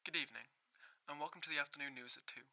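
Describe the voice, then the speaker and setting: Radio newsreader, very bright forceful voice, fast, formal, grave. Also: male voice, fairly high pitch. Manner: formal, crisp delivery. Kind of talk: scripted news reading.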